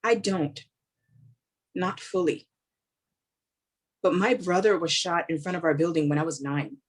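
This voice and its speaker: A woman's voice: lowish voice, unhurried, husky. An actress playing a driven, weary woman police officer in a staged play reading, earnest and blunt in short emphatic phrases. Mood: determined.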